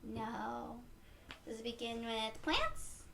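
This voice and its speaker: A woman reading a picture book aloud to young children in a gentle, encouraging way, speaking directly to them. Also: on the high side, big pitch swings, slow pace, creaky, slight background noise. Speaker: children's read-aloud storyteller.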